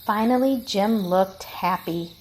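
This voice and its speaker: A woman reading a children's picture book aloud in an expressive storytelling style. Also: mid-range voice, slow pace, slight background noise, poor audio.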